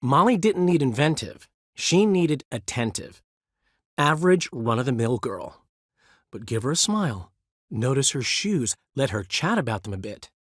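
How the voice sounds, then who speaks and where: A charming, vain bard in his middle years in a video game, his voice leaping lively up and down with theatrical flair. He sounds dry and close, with no room around him. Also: mid-range voice, moderate pace, dry recording. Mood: knowing. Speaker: game character voice. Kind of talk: game voice lines.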